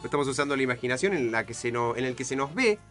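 A man's voice: mid-range voice, lively intonation, rapid-fire. A man with a Rioplatense Argentine accent, an improv comedy performer talking in a mock-serious, joking way. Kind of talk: playful interview banter and improvised storytelling. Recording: music loud behind the voice.

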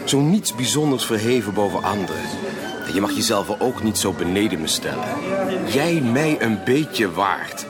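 Levendige, bescheiden verliefde jonge heer. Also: male voice, on the low side, very animated, moderate pace, music in the background. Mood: tender, earnest. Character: earnest, modest, affectionate young gentleman in love. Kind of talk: 1980s Dutch radio drama acting.

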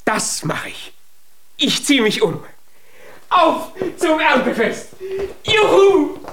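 An actor voicing a small, childlike, proud and eager giant in a children's radio play. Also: male voice, very high voice, huge pitch swings, slow pace, a bit bright, a little hiss or hum, full character voice. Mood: happy. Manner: eager, boastful, naive.

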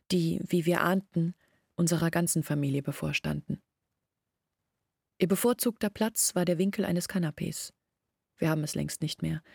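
An actress playing a sorrowful young village woman in a radio play, speaking in a hushed, deliberate, brooding way. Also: on the low side, creaky, breathy edge, no echo, studio quality. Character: sorrowful young village woman recounting her family's disgrace. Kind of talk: radio play monologue, intimate recounting.

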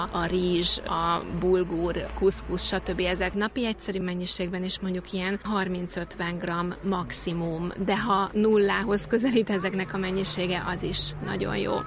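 Woman, even-toned, with noise behind her. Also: lowish voice. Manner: explains in long, detailed sentences with examples. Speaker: dietitian being interviewed. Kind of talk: explanatory interview talk.

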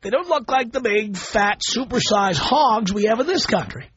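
A man's voice: high-pitched, lively intonation, moderate pace, fairly bright tone, highs cut off, a little hiss or hum. A man hosting a call-in radio talk show, blunt and opinionated with callers.

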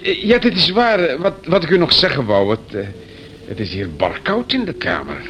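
An actor playing a fussy, awkward district police inspector in a radio play, officious yet apologetic, stammering and repeating himself. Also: male voice, fairly high pitch, very animated, moderate pace. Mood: hedging, embarrassed. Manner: hesitant, stammering, apologetic, repetitive. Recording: dull, faintly noisy old radio recording.

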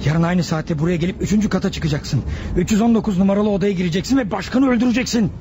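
A man's voice: sits high, fast talker, a little mellow, a little hiss or hum, close to an everyday voice. A male actor in a radio play as a criminal accomplice, a defensive schemer who explains and gives orders insistently. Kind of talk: radio theater acting.

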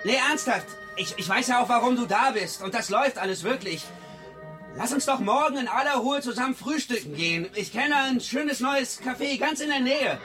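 An actor playing a nervous, evasive debtor in a radio crime drama, rambling hesitantly through placating excuses. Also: male voice, very high-pitched, expressive, normal speed, bright, forceful tone, heavily acted. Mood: falsely cheerful. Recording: music clearly behind the voice.

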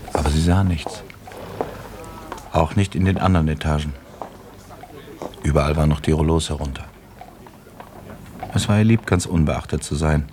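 A man narrating a literary German radio play as a calm, detached storyteller. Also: very deep voice, slight background noise.

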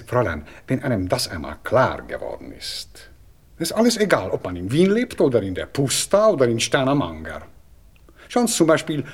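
An aristocratic Viennese cavalry officer for radio drama. His voice is rough-edged and swoops up and down as he rambles. He is courteous, hesitant and world-weary, forever philosophizing in an affected way. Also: mid-range voice, full character voice. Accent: Viennese Austrian. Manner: hesitant, polite, rambling, blasé. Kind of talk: radio play acting.

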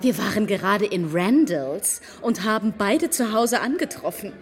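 A woman's voice: mid-range voice, animated, measured pace, over music, natural voice. A woman acting in a radio play as a self-important newly married lady, gushing, affected and chatty. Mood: gushing, smug.

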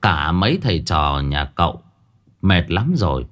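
A man voicing an old neighbor man who chants slowly in a low drawn-out tone. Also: big pitch swings, fairly bright tone, exaggerated voice. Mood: wry.

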